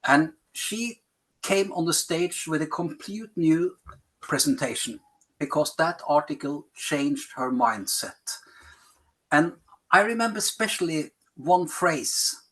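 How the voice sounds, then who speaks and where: A middle-aged man with a Norwegian accent, speaking English as a second language in a podcast interview, pausing often to find words. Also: on the high side, lively intonation, a bit bright, low-quality recording. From Norway. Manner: searches for words, frequent pauses and fillers. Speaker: health policy advisor.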